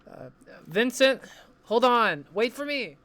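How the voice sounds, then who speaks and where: A man's voice: fairly high pitch, very animated, slow pace, a little hiss or hum. A man playing an anxious, friendly young male student in an audio drama, casual and hesitant. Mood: concerned, unsure.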